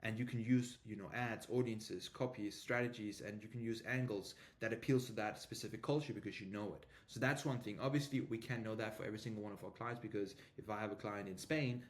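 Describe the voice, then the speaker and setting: A man's voice: on the low side, fairly even intonation, fairly quick, few pauses. A man giving an informal tutorial as a marketing coach, explaining things with frequent filler phrases and repetition. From Denmark. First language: Danish.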